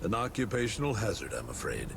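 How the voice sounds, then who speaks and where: Low-voiced fatherly frontier preacher, noisy backdrop. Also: very animated, creaky. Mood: fatherly, wry. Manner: measured, folksy and fatherly. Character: kindly, earnest frontier padre, older man. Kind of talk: video game cutscene acting. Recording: noisy game audio with ambient rumble.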